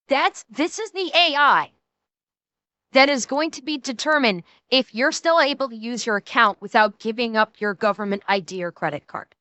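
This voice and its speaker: A woman's voice: on the high side, sharp tone, clean tone, no room sound. A young woman streaming live, talking indignantly to her chat and swearing as she answers viewers.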